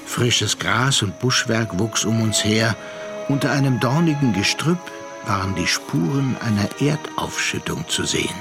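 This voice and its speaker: A man narrating a literary radio drama with measured, deliberate phrasing and pauses. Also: lowish voice, animated, creaky, over music.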